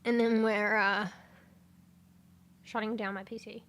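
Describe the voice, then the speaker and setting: A congested woman chatting casually on a livestream, rambling, swearing and breaking into sudden loud exclamations as she reads things aloud. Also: medium pitch, level tone, a little slow. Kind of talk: casual stream chat, reading aloud.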